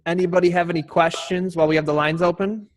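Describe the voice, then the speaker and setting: Male moderator, fairly high voice, casual and unscripted, running an online town hall. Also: fairly even intonation, quick pace.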